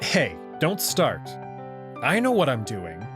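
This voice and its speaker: A man's voice: medium pitch, huge pitch swings, slow pace, music in the background. A man playing a young human visual novel protagonist who talks casually with a wry, mildly defensive manner.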